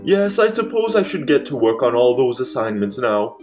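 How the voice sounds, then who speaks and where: Man acting an excitable, animated mad scientist. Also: mid-range voice, normal speed, over music, natural voice. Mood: grumbling. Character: male mad scientist Doctor Frankengrain, excitable, bossy procrastinator. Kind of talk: old-time radio comedy mystery acting.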